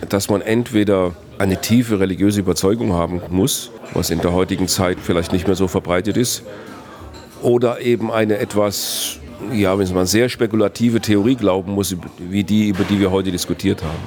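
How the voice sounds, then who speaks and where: A man, a philosophy professor answering interview questions in a measured, reflective way. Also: deep voice, steady tone, darkish tone, soft background music. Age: 60s. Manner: measured, reflective, builds long reasoned sentences.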